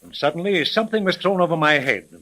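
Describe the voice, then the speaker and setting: Evasive, stuffy millionaire, bright-voiced. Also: male voice, fairly high pitch, animated. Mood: uneasy, recalling. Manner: halting, formal, indignant. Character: evasive, stuffy millionaire businessman, a kidnapping victim. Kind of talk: 1930s radio mystery acting.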